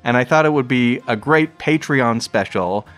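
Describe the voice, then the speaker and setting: A man's voice: medium pitch, normal speed, a bit bright, faint music behind. A man hosting a podcast, talking in a conversational introductory style. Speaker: podcast host.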